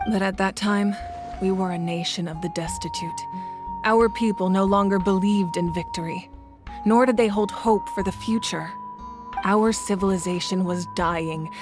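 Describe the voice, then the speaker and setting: A woman playing a composed, grave ruler in a video game, speaking in measured, deliberate lines. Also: mid-range voice, lightly acted. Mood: grave, resolute. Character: solemn, composed woman war-god ruler of a nation. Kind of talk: video game voice acting, dramatic exposition. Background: game music.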